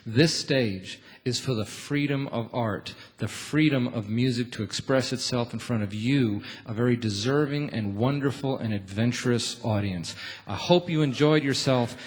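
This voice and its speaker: A man's voice: medium pitch, moderate pace, husky. Earnest man hosting from a stage. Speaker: radio show host and folk singer. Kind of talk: live stage sign-off to an audience. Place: on stage in a theatre.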